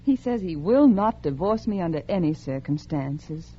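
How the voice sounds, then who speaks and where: A woman playing a scheming, calculating unfaithful wife, acting in a melodramatic 1950s radio suspense style with dramatic pauses. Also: on the low side, expressive, warm tone, breathy edge. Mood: pleading, feigned love. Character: scheming, calculating unfaithful wife plotting her husband's murder. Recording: dull vintage radio recording with music.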